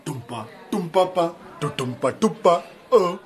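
An actor playing a laid-back, show-off young musician with a very raspy voice in a radio drama. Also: male voice, on the high side, animated, unhurried, soft background music. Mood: cocky.

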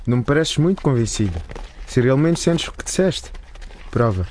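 Radio drama sweetheart: male actor as insistent, smooth-talking schoolboy, mid-pitched, relaxed timbre, faint outdoor ambience. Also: moderate pace, a little hiss or hum, close to an everyday voice. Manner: coaxing, insistent.

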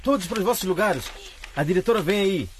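Casting: teenage schoolboy lookout, high male voice, radio drama, crowd chatter behind. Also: expressive, fast. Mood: urgent warning. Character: alert schoolboy, the class lookout.

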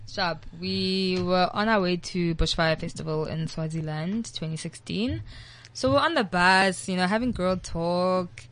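A young woman from South Africa, a guest DJ chatting casually in an interview, animated and full of "like". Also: on the low side, unhurried.

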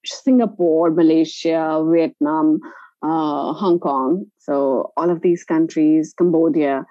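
A woman with an Indian accent answering casually as an interview guest, halting with frequent hesitations. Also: deep voice, fairly even intonation, dark tone, dry recording, dull audio. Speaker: interview guest, travel company founder.

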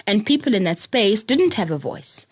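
South african woman telling her story on a podcast, mid pitch, laughs a lot, very dry close mic. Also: sing-song, moderate pace, slightly rough, no room sound. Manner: reflective, laughs often, repeats words while thinking. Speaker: podcast guest. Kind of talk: personal storytelling in an interview.

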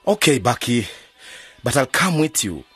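Worldly-wise sceptic, radio drama, very breathy rough voice, mid pitch, wry. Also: male voice, sing-song, measured pace, a bit bright, faint music behind, heavily acted. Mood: firm. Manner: wry, cautionary.

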